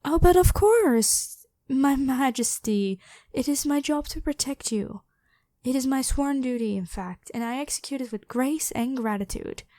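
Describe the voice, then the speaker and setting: A woman's voice: high-pitched, unhurried, dark tone, dry recording. A woman voicing a devoted, protective royal knight, head of the guard, with formal, deferential speech in a scripted ASMR roleplay. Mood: grateful, humble. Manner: formal, deferential address to a monarch.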